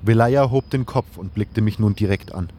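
Man acting as earnest adventurer hero, low voice, measured first-person storytelling, fantasy audio drama, birdsong and noise behind. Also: warm tone, natural voice. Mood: reflective, contented. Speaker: narrator and lead character of a fan audio drama. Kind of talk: audio drama narration with in-character dialogue.

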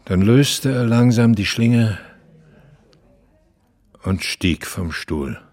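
An actor playing a lonely, embittered former opera star in his eighties, telling his story deliberately and theatrically, with a husky voice. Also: fairly low pitch, expressive, darkish tone, heavily acted. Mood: brooding, confessional. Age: 80s. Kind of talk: dramatic monologue, radio play.

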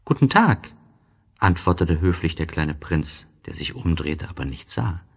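A man's voice: a bass voice, steady tone, moderate pace, vocal fry, breathy edge. A man narrating a literary tale expressively, as a storybook reader.

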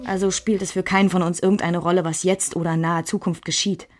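A woman's voice: fairly low pitch, little pitch movement, fast talker, soft background music, lightly acted. An actress playing a reflective woman who looks back on a troubled marriage in a literary radio play. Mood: reflective, resigned.

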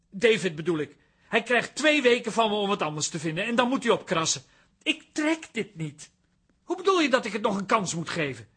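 A man's voice: sits high, sharp tone, husky, heavily acted. An actor playing a fussy, uptight man in a radio play, agitated and whining, easily exasperated. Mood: indignant whining. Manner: agitated, whining, stammering when flustered.

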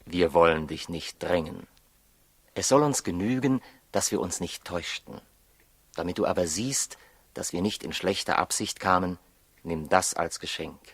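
An actor playing a calm, authoritative astronomer from the East in a 1960s radio drama, courteous and reassuring. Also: male voice, deep voice, moderate pace, slightly rough, dry recording. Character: calm, courteous leader of travelling Eastern astronomers.

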